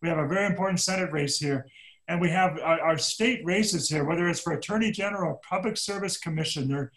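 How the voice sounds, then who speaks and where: A man's voice: on the high side, a little fast, fairly bright tone, poor audio. Earnest man moderating a panel. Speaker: panel facilitator.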